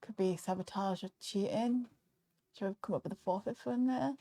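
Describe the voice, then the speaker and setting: A woman's voice: mid-range voice, fairly quick, mellow. A woman co-hosting a casual live show. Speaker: show co-host.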